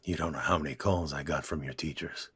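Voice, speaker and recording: A man playing a blunt, weary aging father who sighs and speaks slowly. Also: very deep voice, steady tone, fairly bright tone, husky, full character voice. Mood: fond. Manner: blunt, sighing, slow-spoken.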